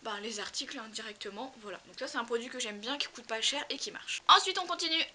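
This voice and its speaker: A woman's voice: on the high side, fast, bright, forceful tone. A young woman with a cold and a slightly nasal, congested voice chats casually through a product review, repeating words for emphasis. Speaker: equestrian vlogger. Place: outdoors in a stable tack room, cold.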